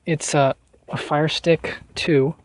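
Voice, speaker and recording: A man giving a casual hands-on how-to in an informal, halting way, thinking aloud as he goes. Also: fairly high pitch, steady tone. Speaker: vlogger showing a car radio install.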